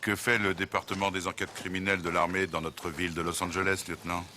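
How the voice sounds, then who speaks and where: A man's voice: low voice, level tone, quick pace, bright, forceful tone, slight background noise. A man dubbing a skeptical, brash city police inspector in a French film, speaking sarcastically. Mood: brash, sarcastic.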